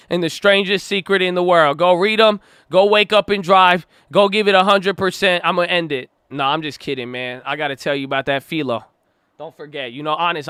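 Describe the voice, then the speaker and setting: A man hosting a trading channel, talking in an excitable, slangy, casual way. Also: high-pitched, smooth, no room sound. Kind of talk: casual hyped vlog talk.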